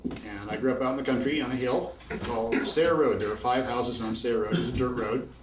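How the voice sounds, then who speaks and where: A man's voice: mid-range voice, steady tone, brisk. A man in his sixties from Indiana telling rambling, chatty stories between tunes at a house concert. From Bloomington, Indiana. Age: 60s. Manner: rambling, chatty, chuckling asides. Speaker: banjo player telling stories. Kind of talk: casual storytelling at a house concert. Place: living room at a house concert. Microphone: far camera in the room. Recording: roomy, distant, faint room noise.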